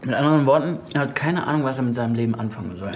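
An actor playing a jealous, sarcastic husband in a naturalistic radio drama, curt and needling. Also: male voice, mid-range voice, rapid-fire, darkish tone, a little hiss or hum, close to an everyday voice. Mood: mocking. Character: tense, jealous, sarcastic husband, an interior designer.

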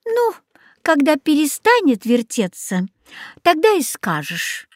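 Woman as a snippy, teasing little girl, high-pitched. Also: wildly swooping pitch, slow pace, no room sound, studio quality, heavily acted. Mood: mocking, teasing. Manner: mocking, needling.

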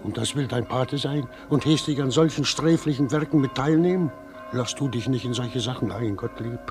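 Schauspieler als frommer, strenger alter schlesischer Weber. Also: male voice, medium pitch, moderate pace, a little mellow, faint music behind, close to an everyday voice. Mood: reproachful. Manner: earnest, admonishing. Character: pious, stern old weaver and family father. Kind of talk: German radio play acting.

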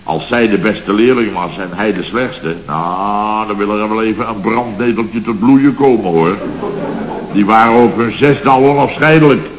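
A man's voice: lowish voice, moderate pace, lightly acted. Man acting a sardonic boss in a roomy recording. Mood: sardonic storytelling. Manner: sardonic, repeats words in emphatic bursts. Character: exasperated, wisecracking male boss of a building firm. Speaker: boss of a building contractor's firm. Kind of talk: radio comedy acting. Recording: roomy, dull, hissy old radio recording.

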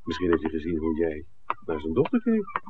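An actor playing a wry, teasing screenwriter with drawling ironic asides in a 1960s Dutch radio drama. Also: male voice, deep voice, wildly swooping pitch, brisk, background noise. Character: wry, teasing male screenwriter, good-humored companion. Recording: muffled, narrow old radio recording.

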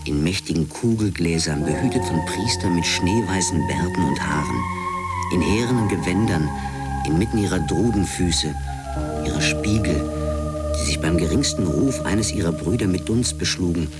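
A man playing a dreamy storyteller of dark, fantastical visions, solemn and incantatory in a literary radio play monologue. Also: low-pitched, expressive, moderate pace, loud music behind the voice.

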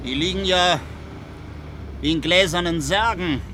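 High-voiced, deliberate male officer. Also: background noise. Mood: uneasy. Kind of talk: German radio drama acting.

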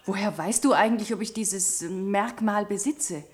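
A woman's voice: mid-range voice, normal speed, darkish tone, close to an everyday voice. A young woman playing a scientist's probing, wary wife in a German radio drama, questioning and pointed. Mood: sceptical, probing. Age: young adult. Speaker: radio play actress.

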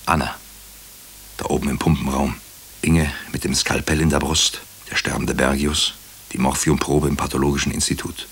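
A very low-voiced, husky male hospital doctor narrates a crime radio play. He is brooding and wry. His phrases come measured and clipped, as if thinking aloud. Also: steady tone, fairly bright tone, full character voice. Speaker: narrator and lead character. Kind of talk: German radio drama, first-person crime narration.

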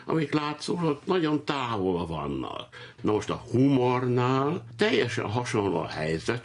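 Male academic guest, very deep and raspy, conversational storytelling for an interview. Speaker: mathematics professor, interview guest. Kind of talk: anecdotal interview talk.

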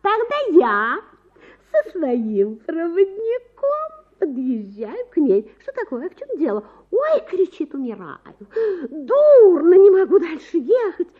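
A woman voicing a coy, self-righteous society wife in a dramatic story reading, gushing and theatrical, with drawn-out words. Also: very high-pitched, wildly swooping pitch, narrow, tinny audio, voice pushed up, full character voice. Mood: breathless gossip.

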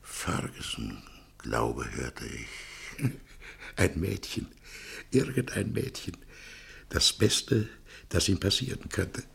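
An actor playing an anxious, courteous middle-aged man in a radio drama, with a gravelly, husky voice and a hesitant, muttering way of talking. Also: sits low, big pitch swings, takes it slowly, long pauses, a bit bright, exaggerated voice. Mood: musing, tender. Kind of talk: literary radio drama acting.

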